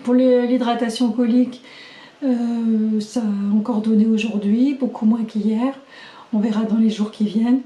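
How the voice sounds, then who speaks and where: A middle-aged woman talks into the camera at home, keeping a casual video diary. Her voice is fairly high yet mellow, worn slightly hoarse, and she speaks haltingly, full of pauses and fillers. The echoey room rings around her. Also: fairly even intonation. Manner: chatty and halting, many fillers and restarts.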